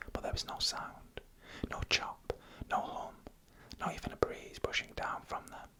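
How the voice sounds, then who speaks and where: A storyteller who whispers throughout, with a crisp, bright edge to the breath. The delivery is scripted ASMR narration of eerie first-person tales, laid out in short, hushed phrases with pauses between them. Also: poor audio.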